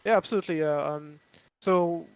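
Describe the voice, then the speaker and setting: A man's voice: fairly high pitch, fairly quick, clean tone, dry recording, poor audio. A man with a French accent, chatting casually about a board game he designed, hesitant with many restarts. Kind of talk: casual chat.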